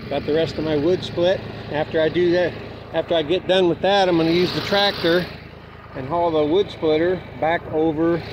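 A plain-spoken man with a slightly higher voice talks his way through a parched garden in a folksy, unhurried drawl. He is outdoors with a handheld camera, and a faint hum of outdoor noise sits under his voice. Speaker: homestead vlogger. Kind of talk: casual walk-through narration. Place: outdoors in a dry farm garden. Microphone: handheld camera carried while walking.

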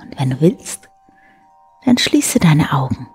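A woman in her forties hosting a bedtime show, guiding the listener gently and soothingly with a soft, breathy voice. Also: low-pitched, very animated, slow. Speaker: host of a bedtime story show. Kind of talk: guided sleep meditation, soft bedtime storytelling. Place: indoor room beside a wood stove. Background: soft music.

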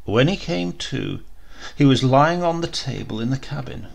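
A man giving a dramatic reading of a horror story, often dropping to a hushed whisper. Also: medium pitch, moderate pace, gravelly. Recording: loud steady hiss behind the voice.

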